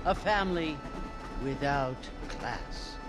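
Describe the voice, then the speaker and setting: A woman's voice: deep voice, expressive, takes it slowly, over loud music. An actress playing an older, prim, snobbish Southern society lady, pious and judgmental, with a haughty genteel manner in a western game. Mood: disdainful. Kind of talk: period western game dialogue.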